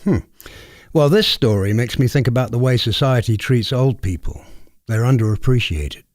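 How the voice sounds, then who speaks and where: A man's voice: mid-range voice, animated, moderate pace, a little mellow, no room sound. Man co-hosting, dry close sound. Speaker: co-host interviewer. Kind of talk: conversational discussion.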